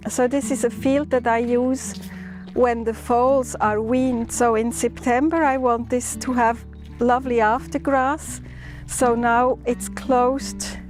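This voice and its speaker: Middle-aged woman from Switzerland, fairly high voice, calm and reflective, recorded outdoors with soft music under. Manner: thoughtful, unhurried, with small restarts. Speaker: stud farmer. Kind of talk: documentary interview. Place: outdoors on a farm.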